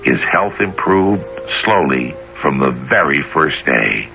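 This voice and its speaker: A man hosting a radio drama, reading scripted narration in a measured, theatrical way. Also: very deep voice, lively intonation, vocal fry, bright, forceful tone. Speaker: host and narrator. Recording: dull lo-fi radio recording. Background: music.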